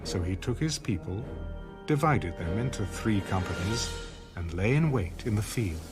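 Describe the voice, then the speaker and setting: Male narrator, low register, solemn measured scripture reading with music underneath. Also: lively intonation. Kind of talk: dramatized audio Bible narration. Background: background music.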